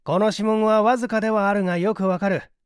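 A man voicing a methodical gentleman detective in an audiobook, assured and analytical as he explains. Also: high voice, steady tone, fast talker, clear, resonant tone, no room sound, studio quality, close to an everyday voice. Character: confident, methodical gentleman detective in a mystery story.

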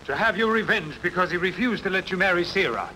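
An actor dubbing an agitated accuser in a sword-and-sandal film, urgent and melodramatic. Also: male voice, sits high, lively intonation, fast, bright, forceful tone, slight background noise, low-quality recording. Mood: urgent, accusing. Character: agitated accuser crying for the hero's arrest.

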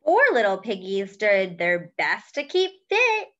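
Young woman, children's storytime reader, sing-song and bright, slightly muffled home webcam sound. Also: mid-range voice, big pitch swings. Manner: cheerful, slow and sing-song, counting aloud. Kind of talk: reading a picture book aloud to children. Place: small room at home, indoors.

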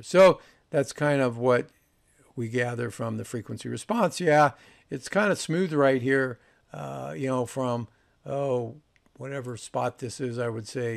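Gravelly older man reviewing gear casually. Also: medium pitch. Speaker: host of vintage audio review.

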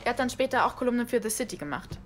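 A woman's voice: fairly high pitch, expressive, racing, close to an everyday voice. Self-assured, sardonic woman played by an actress. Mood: cool, casual. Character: self-assured, casual, sardonic woman telling her story. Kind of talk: naturalistic German radio drama acting. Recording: faint background noise.

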